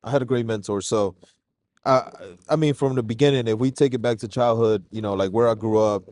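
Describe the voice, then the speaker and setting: A man from Mexico, a guest on a casual podcast interview, telling his story in a relaxed, slangy way. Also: medium pitch, fairly quick, dry recording. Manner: relaxed, slangy, calls host "bro".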